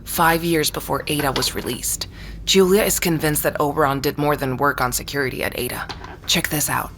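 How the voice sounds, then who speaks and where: A woman's voice: low voice, fairly even intonation, fairly quick, a bit bright. An actress playing an earnest, suspicious woman urgently explaining what she has found, in naturalistic audio drama. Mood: intent, suspicious. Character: earnest, suspicious woman amateur investigator confiding findings. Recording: faint background noise.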